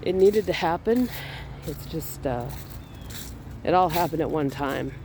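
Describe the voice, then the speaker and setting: A slightly high-voiced man with a stuffy, congested sound talks casually while strolling outdoors. His delivery rambles and restarts. A faint hum of traffic and outdoor noise sits behind him. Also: a little slow. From Minnesota. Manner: relaxed, rambling, with small laughs and restarts. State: stuffy from a cold. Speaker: artist vlogger. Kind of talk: casual walking vlog. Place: outdoors in a cemetery on a fall day.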